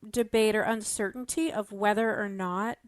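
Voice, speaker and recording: A woman with a mid-pitched voice, recorded dry and close, in a casual analytical podcast discussion. She is thoughtful, qualifying her ideas and building them step by step. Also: steady tone, moderate pace, no room sound. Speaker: podcast panelist discussing a fiction show.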